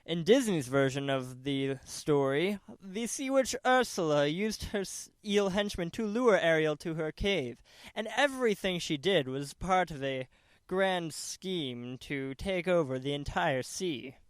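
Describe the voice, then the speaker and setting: A man's voice: fairly high pitch, lively intonation, measured pace, clean tone, no echo. A man narrating a documentary from a script in a formal, careful, essay-like manner. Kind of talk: scripted narration, read aloud.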